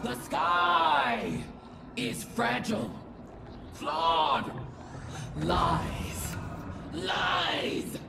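A woman playing a vengeful, grieving alien war goddess in a video game, delivering a grandiose, theatrical monologue in a rasping voice. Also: fairly high pitch, big pitch swings, exaggerated voice. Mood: fierce defiance. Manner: grandiose, slow, theatrical, swinging from whispers to shouts. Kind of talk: dramatic game audio-log monologue. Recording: echoey, distant voice with music underneath.

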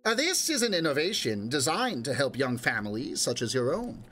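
A man voicing a smooth-talking roguish quarry worker who spins evasive explanations, in an audiobook reading. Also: mid-range voice, sing-song, normal speed, fairly bright tone, faint music behind. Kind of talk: audiobook character voice.

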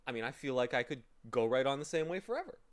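A man plays an earnest young boy in a storybook. He is polite and hesitant, full of questions. His pitch moves up and down freely. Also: big pitch swings, fast talker, close to an everyday voice. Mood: restless, wondering. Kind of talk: storybook character voice.

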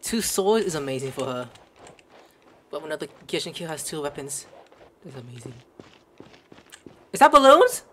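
A woman's voice: low-pitched, sing-song, normal speed, pauses often, slight background noise. A woman giving casual, jokey commentary while streaming a video game playthrough. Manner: playful, jokey reactions. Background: video game music.

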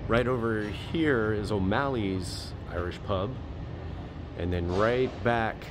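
A man's voice: on the low side, slow pace. A man vlogging as he walks a city street, narrating a casual tour in an easygoing, rambling way with lots of fillers. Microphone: handheld camera while walking. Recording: outdoor street noise and traffic. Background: street traffic.

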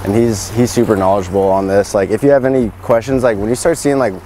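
A man in his thirties, a pond builder, casually walking through a backyard project outdoors, relaxed and full of fillers. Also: on the low side, fast talker, slight background noise. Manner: relaxed, filler-heavy, enthusiastic. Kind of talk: casual on-site walkthrough. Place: outdoor backyard construction site.

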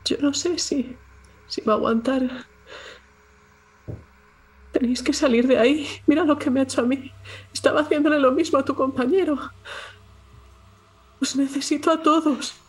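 A woman's voice: high-pitched, steady tone, a little fast. A woman with a Castilian Spanish accent narrating her character in first person as a player in a tabletop game.

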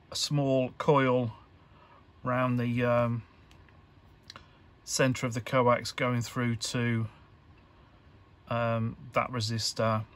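A man giving an informal hands-on tutorial, talking in a halting, hesitant way as he thinks aloud. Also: medium pitch, smooth. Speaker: electronics hobbyist presenter.

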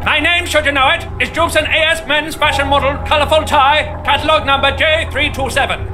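An actor voicing a talking necktie, a manic boozy best friend with tender melancholy, in a strained video game voice. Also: male voice, extremely high pitch, steady tone, brisk, music in the background. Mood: wistful, fond. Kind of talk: scripted video game character acting.

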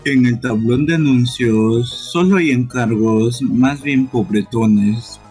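A man plays a sneering poison-gas monster, a surly gang henchman in an adventure game. The words come slowly and slightly husky over game music. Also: medium pitch, warm tone, rough recording. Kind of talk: live amateur game dubbing.

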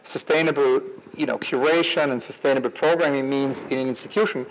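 A man's voice: mid-range voice, steady tone, a little hiss or hum, rough recording. A man with a mid-range voice speaks on a panel as curator, jumping between ideas with fillers and tags. He holds a handheld mic on an outdoor garden stage. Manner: quick, associative, with fillers. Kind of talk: panel discussion.

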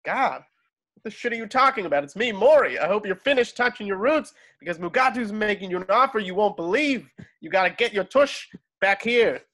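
A man puts on an excitable talent agent for a comedy table read. The voice jumps well up in pitch, pushy and insistent, as if shouting good news down a phone. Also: a little fast, exaggerated voice. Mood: excited, pushy. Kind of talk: amateur table read over video call.

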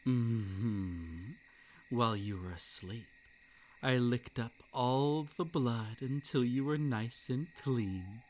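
A dangerous fox spirit, as a man plays him in an audio roleplay, possessive and unhinged. He talks slowly, letting each threat linger, with a mid-range voice. Manic laughter slips out, and then he sinks into hushed, breathy whispers. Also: medium pitch, a little hiss or hum, natural voice. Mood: soft, possessive. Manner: gleeful, unhinged laughter between lines; drops to soft breathy whispers. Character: possessive yandere male kitsune monster, menacing. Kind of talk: roleplay ASMR.

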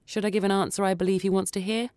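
A woman reading a short story for radio in a theatrical, deliberate, dramatic narrating style. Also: mid-range voice, little pitch movement, darkish tone, dry recording, clean studio sound.

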